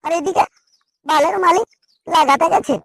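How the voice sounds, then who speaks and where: A woman's voice: extremely high pitch, normal speed, vocal fry, no room sound. A woman playing a rude, resentful young male worker in a comic cartoon, complaining insolently. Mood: resentful, grumbling.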